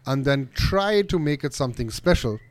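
A man's voice: medium pitch, expressive, moderate pace. A man speaking as a dog-trainer guest on a podcast, earnest and emphatic about his pet peeve. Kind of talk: casual conversation.

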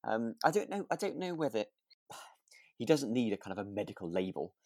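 A man's voice: lowish voice, very animated, normal speed, darkish tone, no room sound, studio quality. A man co-hosting a podcast in casual chat, rambling along in a hedging, chuckly way. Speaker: podcast co-host. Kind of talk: casual chat reviewing a radio drama.

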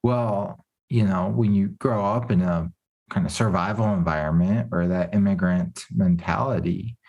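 Husky-voiced male interviewer, gentle and probing. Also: low voice, a little slow, muffled recording. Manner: gentle, probing, many "you know" fillers. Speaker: podcast host. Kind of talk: interview questions.

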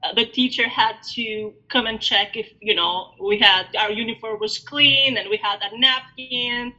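A woman in her thirties from Albania, a podcast guest telling her story in a reflective, halting way with many fillers. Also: fairly high pitch, steady tone, sharp tone. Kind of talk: podcast interview. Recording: dull lo-fi audio.

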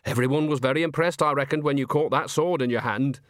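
A man's voice: mid-range voice, fast talker, fairly bright tone, no room sound, clean studio sound, natural voice. A man voicing a chatty, homely, cheeky old woman witch in an audiobook, folksy and rambling. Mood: chatty, sly. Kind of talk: audiobook character acting.

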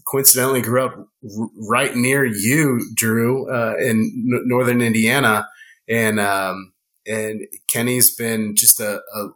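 A man's voice: medium pitch, fairly bright tone. A man telling friendly stories as a podcast guest in casual chat, rambling with many restarts and fillers.

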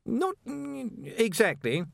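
Man putting on a nervous young wizard voice, high, stammering, hesitant, dry close sound. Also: wildly swooping pitch, slow, gravelly, dry recording, exaggerated voice. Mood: flustered.

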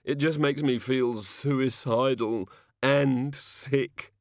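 A man voicing a miserable, hungover minor god who mumbles slowly and groggily, in a storybook reading. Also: mid-range voice, fairly even intonation, gravelly, no room sound, studio quality, voice pushed up. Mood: groggy.

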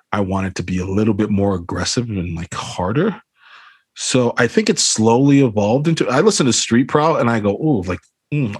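Low-voiced guy on a video call, kinda husky, dry sound, swears a lot and says you know what i mean all the time. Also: no echo. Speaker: band frontman, interview guest. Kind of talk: casual interview chat.

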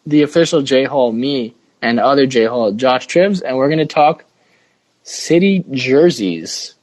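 A man's voice: mid-range voice, lively intonation, measured pace, a little mellow, no room sound, rough recording. A man hosting a casual sports podcast with jokey, slangy banter. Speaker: podcast host.

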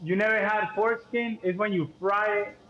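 Young Cuban man, high voice, animated chatty guest, echoey muffled room sound. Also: normal speed. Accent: Cuban Spanish. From Cuba. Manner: animated, repeats words, heavy on "like" and "you know". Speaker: podcast guest. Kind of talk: casual chat. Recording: dull echoey room audio with faint hiss.

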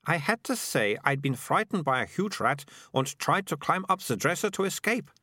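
A man's voice: a little high, big pitch swings, bright, forceful tone, no echo, clean studio sound, pitched above the performer's own voice, exaggerated voice. A man voicing a bossy, dramatic young girl in a storybook, insistent and very sure of herself. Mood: self-assured. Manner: insistent, brisk, self-assured.

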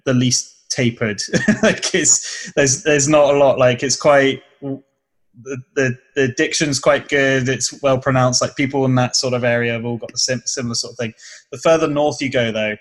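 A man's voice: medium pitch, steady tone, normal speed. Young guy w a southern english accent just chatting about comedy, rambles a bit, restarts a lot. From southern England. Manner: chatty, explaining at length with restarts. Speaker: comedy video creator. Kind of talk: casual interview chat.

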